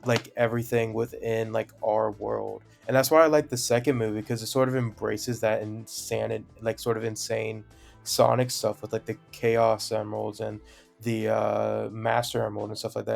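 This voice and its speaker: A young man co-hosting a casual podcast, giving measured opinions and hedging often. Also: lowish voice, level tone, vocal fry, darkish tone, soft background music. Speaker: podcast co-host. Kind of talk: casual chat.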